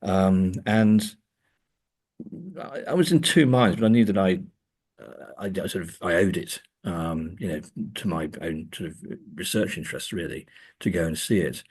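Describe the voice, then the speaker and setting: A man with a deep, rough-edged voice talks as an academic guest. His conversational delivery is halting, circling back over words as he works out each thought. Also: darkish tone. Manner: hesitant, halting, repeats words while thinking. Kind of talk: conversational interview.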